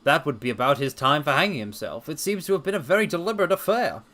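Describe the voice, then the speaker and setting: A man plays a storybook police inspector. He is earnest and matter-of-fact, with a sceptical streak. The pitch moves around in a lively way. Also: quick pace. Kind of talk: dramatic reading of a detective story.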